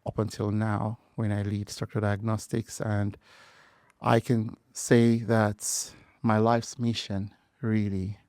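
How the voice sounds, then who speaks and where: A man's voice: fairly low pitch, flat delivery, slow pace, darkish tone, no echo, clean studio sound. A man with a Nigerian accent, a podcast guest and engineer, speaking thoughtfully with hesitations and fillers. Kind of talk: conversational interview.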